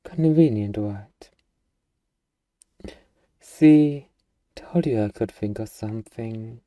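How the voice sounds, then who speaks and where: Man playing a dark-voiced, eager little friendly demon. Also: fairly low pitch, no echo. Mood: eager, coaxing. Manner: hesitant, coaxing, playful, with drawn-out hums. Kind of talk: ASMR roleplay monologue, intimate and comedic.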